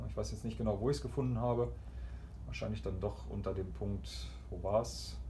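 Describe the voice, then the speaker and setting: A man with a fairly low voice talks casually through what he is showing, explaining and improvising as he goes. He is recorded on a handheld camera in a small cabin, with clear ambient noise behind him. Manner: explanatory, improvising while operating the screen. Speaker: travel vlogger presenting a cabin TV system. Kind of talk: casual unscripted walkthrough commentary. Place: small ship cabin indoors. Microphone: handheld camera or phone, behind the lens. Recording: clear background room noise.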